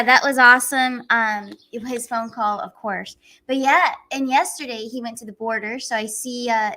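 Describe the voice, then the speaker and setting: A woman hosts a casual livestream with a bright, forceful tone and a voice a little higher than most women's. She talks in an excitable, chatty flow and laughs often. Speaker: livestream host.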